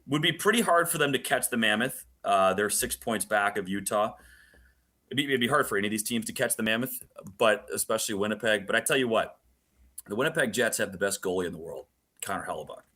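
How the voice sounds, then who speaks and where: Guy doing sports radio analysis, lowish voice, really bright and forceful, explains stuff in detail. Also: expressive, quick pace. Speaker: play-by-play announcer as guest analyst.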